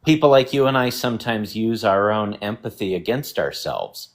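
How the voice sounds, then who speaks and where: A man's voice: lowish voice, lively intonation, normal speed. A man caller talking earnestly and at length, agreeing and sharing his own lesson. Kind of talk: call-in conversation.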